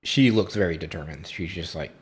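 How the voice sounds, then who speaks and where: A man acting as game master, narrating and refereeing a tabletop fantasy game in an informal, halting way. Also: low-pitched, a little hiss or hum.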